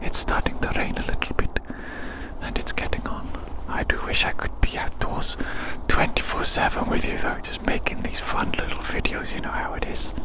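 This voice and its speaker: An ASMR creator rambling chattily in a breathy, unvoiced whisper outdoors, softly repeating phrases. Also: moderate pace, poor audio. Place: outdoors in the woods in winter. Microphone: action camera.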